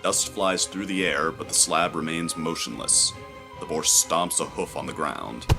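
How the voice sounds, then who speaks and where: A man narrating a scripted adventure story in a measured, descriptive, dramatic storytelling style. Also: very deep voice, gravelly. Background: music.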